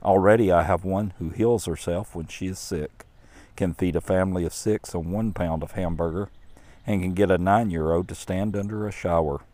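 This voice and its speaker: A man voicing the Lord in a storybook poem: a tireless male creator, earnest and proud, read in an unhurried, earnest way. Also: sits low, steady tone, warm tone, no room sound, natural voice.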